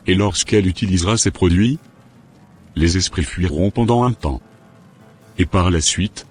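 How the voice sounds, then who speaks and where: A man voicing a menacing, domineering spiritual master in an amateur cartoon dub, speaking in a commanding, deliberate way. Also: deep voice, a little mellow, close to an everyday voice. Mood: authoritative. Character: menacing, domineering male spiritual master, a sinister occult healer.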